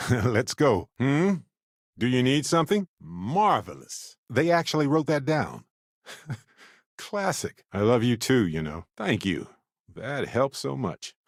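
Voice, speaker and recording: An actor playing a wry, affectionate, talkative space explorer companion in a video game, with a playful, expressive, conversational delivery. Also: male voice, mid-range voice, slow pace, dry recording, studio quality, exaggerated voice.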